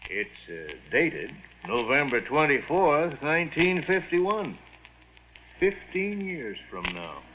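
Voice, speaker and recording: An actor playing a puzzled, mild-mannered army doctor, hesitant and musing, in 1950s radio drama style. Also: male voice, a little high, big pitch swings, takes it slowly. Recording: tinny, noisy 1950s radio recording.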